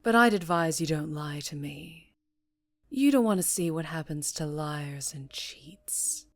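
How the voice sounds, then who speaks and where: A woman playing a dangerously charming female mafia boss in an ASMR roleplay, teasing and deliberate, often slipping into whispers. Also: sits low, expressive, no echo, soft background music, exaggerated voice. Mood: probing, menacing.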